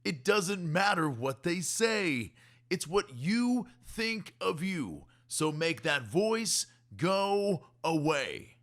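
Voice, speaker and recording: A man's voice: on the high side, sing-song, very slow, fairly bright tone, voice pushed up, full character voice. Man voicing an emphatic, encouraging young friend. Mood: reassuring. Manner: emphatic, urging. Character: helpful, encouraging friend coaching a boy.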